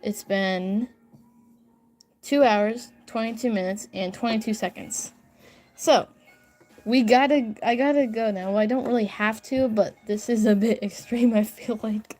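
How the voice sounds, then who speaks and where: A woman's voice: a little high, measured pace, a little mellow, faint music behind. Giggly rambling young woman streamer. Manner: rambling, giggly, easily sidetracked while reading comments. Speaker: livestreaming artist. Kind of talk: casual livestream chat. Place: home bedroom upstairs.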